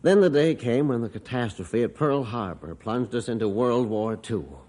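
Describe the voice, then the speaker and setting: A man's voice: mid-range voice, lively intonation, normal speed, mellow, natural voice. An actor playing a middle-aged father telling a story reflectively in an old radio drama. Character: middle-aged father, gruff and warm-hearted. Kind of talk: 1950s radio drama acting.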